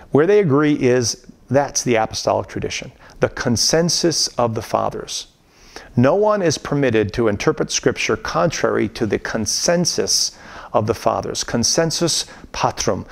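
A man's voice: mid-range voice, vocal fry. A middle-aged man giving a recorded theology course lecture in a room at home, teaching earnestly and emphatically, often restarting his sentences. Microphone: camera framed at chest, facing viewer.

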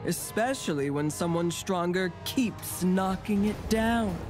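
An actress playing a wry, brooding female storyteller narrating a video game cutscene in a theatrical, dramatic way. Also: lowish voice. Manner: slow, dramatic, hushed in places, with deadpan asides. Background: music.

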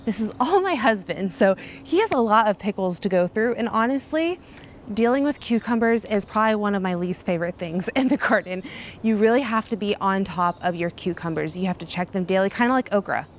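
A woman's voice: mid-range voice, expressive, brisk, a little hiss or hum. Chatty young woman touring her garden. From Kansas. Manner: enthusiastic, chatty, exclaims often. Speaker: garden vlogger. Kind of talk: casual garden tour. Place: outdoor backyard garden. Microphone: handheld phone camera.

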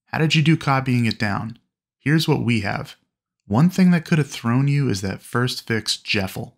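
A man narrating instruction in a clear, explanatory way. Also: mid-range voice.

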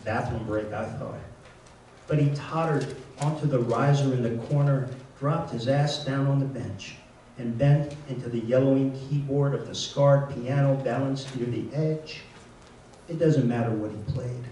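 A man's voice: mid-range voice, mellow, a little hiss or hum. Older man reading poems in an echoey room. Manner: measured, wry, chatty between poems. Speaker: poet reading his work. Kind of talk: poetry reading with asides. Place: lecture room at a reading series. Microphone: handheld mic on a stand, close. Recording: echoey room, mic at a distance.

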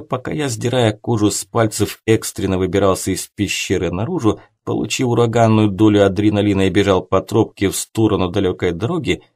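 A man narrates an audiobook in a fairly low voice. He reads scripted first-person prose as the storyteller. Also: a little mellow.

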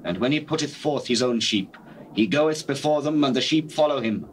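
An actor playing a solemn, authoritative holy teacher in dramatized scripture, declaiming in measured phrases with deliberate pauses. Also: male voice, medium pitch, lively intonation, close to an everyday voice. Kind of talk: dramatized scripture, classical stage delivery. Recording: faintly noisy old cassette.

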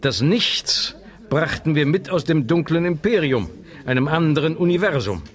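A man's voice: fairly high pitch, lively intonation, normal speed, lightly acted. A man playing a kindly, careful scientist professor in a children's audio drama. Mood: cautioning. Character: kindly, cautioning male scientist professor with playful humour.

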